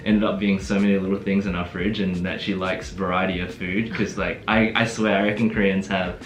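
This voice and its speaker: A man's voice: low-pitched, a little fast, slight background noise. A young man from Adelaide with an Australian accent, chatting easily about his relationship with lots of laughs and fillers. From Adelaide, South Australia. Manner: relaxed, laughs often, many fillers. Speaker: guest, host's fiancé. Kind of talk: casual relationship Q&A. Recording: echoey room with background music.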